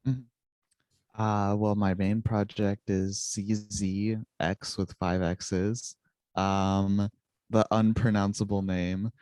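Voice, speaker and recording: Young man, first language Czech, low voice, dry close sound, rambling, self-mocking, laughs a lot. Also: flat delivery, slow pace, darkish tone, no echo. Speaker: musician guest. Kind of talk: casual interview chat.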